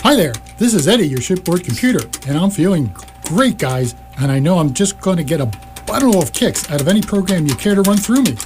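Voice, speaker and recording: A woman's voice: lowish voice, very animated, a little fast, a little mellow, faint music behind. A woman voicing a gushing, eager-to-please shipboard computer in a radio comedy drama. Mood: gushing, cheerful. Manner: gushing, folksy cheerfulness.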